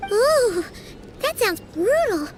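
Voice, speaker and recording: Tiny excitable game character, extremely high voice, lively swoops, unhurried, earnest, childlike. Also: female voice, slight background noise, full character voice. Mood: curious. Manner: eager, wide-eyed, expressive. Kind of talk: video game voice acting.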